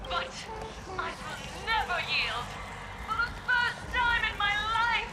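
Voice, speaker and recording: A woman's voice: very high voice, animated, takes it slowly, crowd noise, heavily acted, low-quality recording. A woman voicing a betrayed girl star turned defiant and unhinged, theatrical and emotional, in a video game. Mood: defiant, manic. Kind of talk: dramatic game voice acting. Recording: loud music over the voice.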